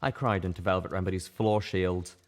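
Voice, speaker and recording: A man's voice: low-pitched, steady tone, brisk. A man reading an audiobook as a dramatic, intense first-person narrator. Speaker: audiobook reader.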